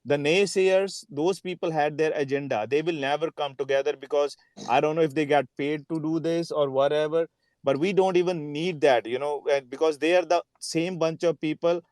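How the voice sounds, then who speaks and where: A man's voice: fairly high pitch, fairly even intonation, normal speed, darkish tone, no room sound. A man speaking casually and earnestly on a group call, repeating himself as he rallies others. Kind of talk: casual chat in a live group audio call.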